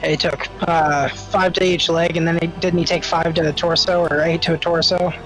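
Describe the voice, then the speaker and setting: A man with a slightly high voice and a flat, even delivery chats as a tabletop game player. Faint music runs behind him. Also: normal speed, poor audio. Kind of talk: casual chat.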